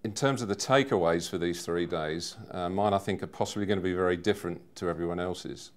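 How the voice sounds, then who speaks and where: A man in his fifties giving a personal testimonial in a measured, earnest way. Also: low-pitched. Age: 50s. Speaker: seminar attendee giving a testimonial.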